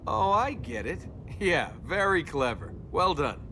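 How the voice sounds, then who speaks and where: A man's voice: fairly high pitch, sing-song, measured pace, pushed and bright, a little hiss or hum, heavily acted. An actor playing a cocky, wisecracking young male adventurer in a video game, glib and sarcastic in his banter. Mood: sarcastic, teasing. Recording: constant game ambience behind the voice.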